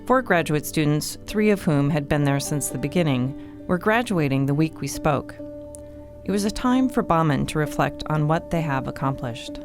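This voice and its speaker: A woman's voice: deep voice, lively intonation, moderate pace, music in the background. A woman reading scripted narration as the host of a podcast.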